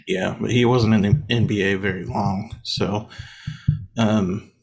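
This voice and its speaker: Casting note: man, thirties, sports podcast co-host, slightly low voice, under the weather, easygoing chat full of "uh"s. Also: measured pace, vocal fry, slightly rough, low-quality recording. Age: 30s. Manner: relaxed, frequent "uh" and "um" fillers. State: under the weather, voice deeper than usual.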